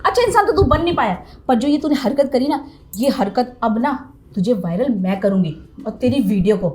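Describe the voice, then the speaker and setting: A woman's voice: fairly high pitch, animated, a little fast, a little mellow, close to an everyday voice. A woman playing a stern, sarcastic female tutor in an acted short drama. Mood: angry, threatening.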